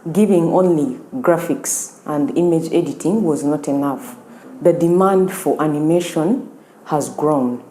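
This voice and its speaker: A woman's voice: sits low, warm tone. A woman who designs courses, explaining them methodically, step by step, in an interview. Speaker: course educator. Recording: echoey room with faint ambience.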